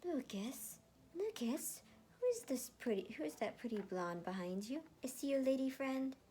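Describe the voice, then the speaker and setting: A woman's voice: high-pitched, sing-song, moderate pace, dark tone, pitched above the performer's own voice. A woman voicing a friendly, nosy older village tailor in an amateur story reading. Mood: curious, friendly. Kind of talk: amateur fanfiction read-aloud. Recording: noticeable background noise.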